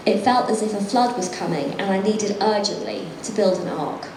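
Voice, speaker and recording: A woman's voice: mid-range voice, normal speed, roomy sound, background noise. A middle-aged woman author reading from her book and then chatting, self-deprecating and laughing often. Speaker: author and guest. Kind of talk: reading aloud then conversation. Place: event venue.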